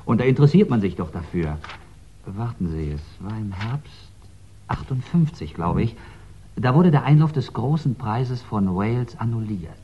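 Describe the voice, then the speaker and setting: A man's voice: lowish voice, animated, moderate pace, warm tone, a little hiss or hum, natural voice. An actor playing a helpful, chatty archive man who loves horse racing, eager and musing, in a 1960s German radio drama. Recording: dull 1960s radio recording.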